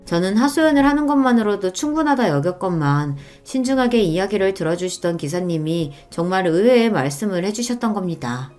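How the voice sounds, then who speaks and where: A woman's voice: medium pitch, lively intonation, fairly quick, few pauses, darkish tone, faint music behind. A woman reading a scripted first-person story in a polite, plaintive storytelling manner. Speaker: story narrator.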